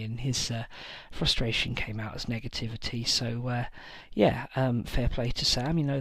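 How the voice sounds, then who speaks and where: A man hosting his own tabletop wargaming channel, talking casually through army lists with frequent pauses and filler words. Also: medium pitch, vocal fry, a bit bright, no echo. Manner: hesitant, many fillers and pauses. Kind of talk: casual list-building talk to camera.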